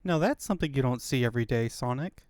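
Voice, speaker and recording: A man's voice: mid-range voice, lively intonation, fast talker, darkish tone, no echo, studio quality, pitched below the performer's own voice, natural voice. A man voicing a chipper young male fox sidekick with quippy, innocent questions in a comic book fandub. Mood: curious, chipper.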